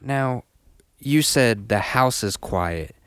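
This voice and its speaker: A man's voice: medium pitch, expressive, takes it slowly, fairly bright tone, clean tone, dry recording, studio quality. A man hosting a casual faith interview, asking his questions in a friendly way. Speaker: host.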